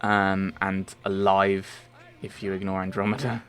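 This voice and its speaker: Young guy from merseyside, lowish voice, lots of ums, rambling q&a voice-over, faint game music behind. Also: flat delivery, measured pace, fairly bright tone, clean tone. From Merseyside, England. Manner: hesitant, many ums, self-correcting. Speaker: channel host answering viewer questions.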